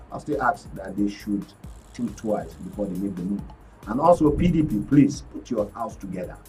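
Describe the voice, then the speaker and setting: A man's voice: lowish voice, darkish tone. A male journalist on a radio panel, talking emphatically and repeating words as he starts his points. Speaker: journalist and media analyst guest. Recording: distant mic, faint music behind.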